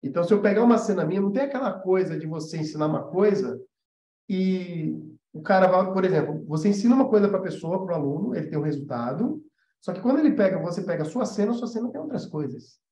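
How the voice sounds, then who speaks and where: A man's voice: sits high, animated, quick pace, mellow. A man with a Brazilian Portuguese accent teaching an informal livestream lesson in a conversational, explanatory way, often checking in with his listeners. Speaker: animation teacher and mentor. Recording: roomy echo, dull muffled sound.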